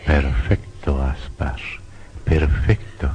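A man's voice: extremely low pitch, drawn-out words, warm tone, muffled recording, slight background noise. A man narrating a horror radio play in a suspenseful, sinister, theatrical way. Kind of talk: dramatic scripted horror narration. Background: music.

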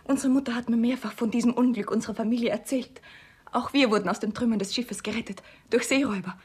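A woman's voice: fairly high pitch, quick pace, darkish tone, slightly rough. An actress playing a tender, earnest young noblewoman in a radio comedy, speaking formally and with feeling. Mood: moved, earnest. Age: young adult. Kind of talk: classic stage comedy radio acting.

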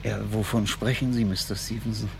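An actor playing a mild, courteous middle-aged English chemist in a 1970s German radio crime drama, speaking politely and wordily. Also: male voice, lowish voice, steady tone, fairly quick, creaky, a little mellow, slight background noise. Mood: hesitant, uneasy. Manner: deferential, polite, wordy.

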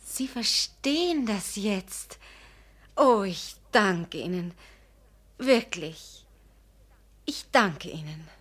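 An actress playing a proud, tender-hearted young woman in a literary radio drama, earnest and emotional, moving between hushed and vehement. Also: mid-range voice, animated, very slow, long pauses. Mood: subdued. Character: young Charlotte Löwensköld, proud, impassioned, tender-hearted jilted fiancée.

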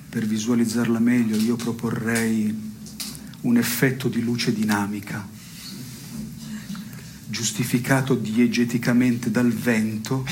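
An actor playing a melancholy, self-ironic man in a radio theatre piece, speaking in a hushed, deliberate, literary way. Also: medium pitch, close to an everyday voice. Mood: dryly deliberate. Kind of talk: avant-garde radio theatre dialogue. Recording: noisy recording with chirping cricket sounds.

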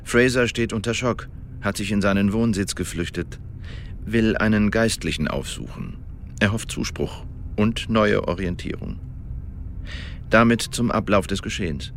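Radio drama lead: Elizabethan agent making a formal report, lowish voice, precise, measured, pedantic. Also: male voice, a little hiss or hum. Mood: careful, matter-of-fact. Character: Elizabethan male secret agent giving a careful official report. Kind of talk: radio drama monologue, testimony.

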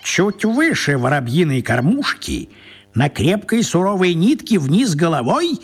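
A male storyteller narrates for children's radio in a fairly high voice. His pitch leaps about dramatically while the delivery stays slow and slightly husky. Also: big pitch swings. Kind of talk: expressive scripted storytelling for a children's radio play.

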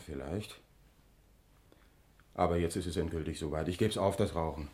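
A low-pitched working man acted by a male radio player, a tanker driver and husband. He speaks in a subdued, contrite way, in the style of 1960s German radio drama. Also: moderate pace, darkish tone, natural voice. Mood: sheepish, subdued.

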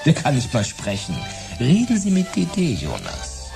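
Pompous, squeamish music stylist: affected, easily offended, very animated delivery, radio play. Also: male voice, medium pitch, normal speed, gravelly. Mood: evasive, smug. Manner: pompous, prissy, hesitant, indignant. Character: vain, squeamish, affected male pop stylist and self-declared artist. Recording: music behind the voice.

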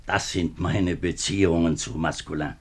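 A deep, husky portrayal of an upright minister and father under pressure. He is middle-aged and speaks in the manner of a 1950s radio play. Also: moderate pace. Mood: earnest, indignant. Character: embattled, upright French government minister and father. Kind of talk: 1950s German radio drama acting.

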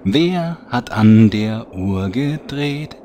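A man's voice: on the low side, expressive, very slow, mellow, a little hiss or hum. A man playing the wry narrator of a fantasy parody audio drama, presenting it like a showman.

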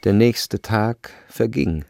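A man narrating a literary radio drama from a script, measured and precise in his storytelling. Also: lowish voice, vocal fry, darkish tone, faint music behind.

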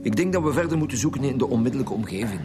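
An actor with a Flemish accent playing a gruff, pessimistic chief homicide detective in a radio drama, blunt and grumbling. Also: male voice, mid-range voice, animated, very fast, over music, lightly acted. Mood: dry, impatient.

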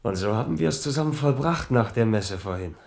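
A wily jester posing as a rough conspirator in a radio play. His medium-pitched voice is brisk, sly and mocking. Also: animated, darkish tone. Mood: sly, conspiratorial. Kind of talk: German radio drama acting.

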